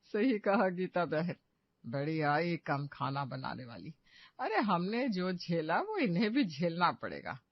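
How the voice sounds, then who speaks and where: A woman's voice: fairly low pitch, big pitch swings, measured pace, no room sound. Cartoon mother-in-law, played by a woman: middle-aged, contemptuous schemer, dry and slightly muffled recording. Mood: scornful. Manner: gloating, contemptuous. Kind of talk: cartoon moral story acting.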